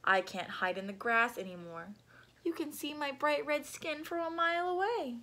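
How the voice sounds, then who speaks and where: Woman as grumbling jungle animal, children's storybook, raised pitch, emphatic, indignant complaints. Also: moderate pace, sharp tone.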